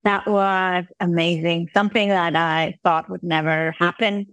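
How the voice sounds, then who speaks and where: A woman's voice: fairly low pitch, fairly even intonation, unhurried, fairly bright tone, smooth, no room sound. A woman from Iceland, not a native English speaker, talking as an interview guest about her online business in an upbeat, chatty way. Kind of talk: conversational interview. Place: based in Switzerland.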